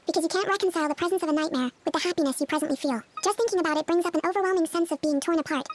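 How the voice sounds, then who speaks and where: A woman playing a composed, earnest young therapist in a video game, speaking in a measured, articulate way. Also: very high voice, slight background noise. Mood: earnest, concerned. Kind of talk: video game character dialogue. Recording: loud game music behind voice.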